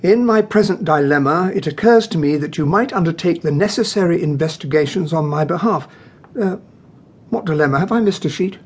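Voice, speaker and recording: An actor playing a genial, impatient English gentleman sidekick and narrator in a radio drama, with a British accent and a husky voice. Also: on the high side, a little fast. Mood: puzzled, impatient.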